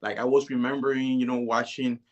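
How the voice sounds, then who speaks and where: A man, telling his life story as an interview guest in a relaxed, hesitant way. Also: mid-range voice, fairly quick, highs cut off. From Dominican Republic. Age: 30s. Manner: relaxed, hesitant, many "you know"s.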